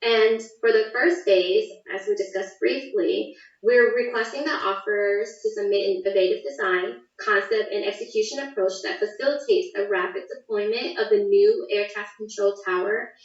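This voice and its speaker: A woman's voice: mid-range voice, normal speed. A woman explaining procedure formally and methodically as a contract specialist being interviewed. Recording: echoey, distant, dull sound.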